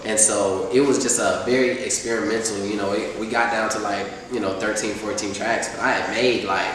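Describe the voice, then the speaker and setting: A man's voice: on the low side, steady tone, fairly quick. A young man, a rapper, chatting casually in an interview, rambling with lots of fillers. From Atlanta, US. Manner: laid-back, rambling with many fillers. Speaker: rapper guest. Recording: echoey room, far from mic, faint noise.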